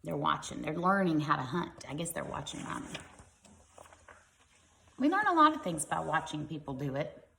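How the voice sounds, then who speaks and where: A woman's voice: sits low, very animated, normal speed, vocal fry, long pauses, sharp tone, roomy sound, slight background noise. A woman reading a picture book aloud to young children like a teacher, expressively and with simple explanatory asides.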